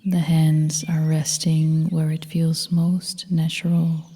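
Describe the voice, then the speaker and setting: A woman guiding a meditation as a yoga nidra instructor, speaking in a soft, breathy half-whisper with a calm, gentle, soothing manner. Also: sits low, slow. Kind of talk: guided meditation narration. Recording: long reverb, faint noise with birdsong.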